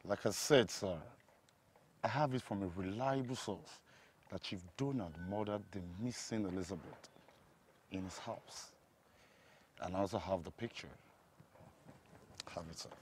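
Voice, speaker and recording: A man's voice: lowish voice, a little slow, pauses often, slight background noise, close to an everyday voice. An actor playing a respectful male aide in a film drama, formal and obedient as he reports to his boss. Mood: respectful, confidential.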